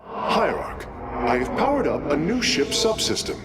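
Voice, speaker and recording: A man's voice: a little high, very animated, a little slow. An actor playing an eager male alien engineer and scientist in a video game, precise and formal in his delivery. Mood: keen, businesslike. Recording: loud music behind the voice.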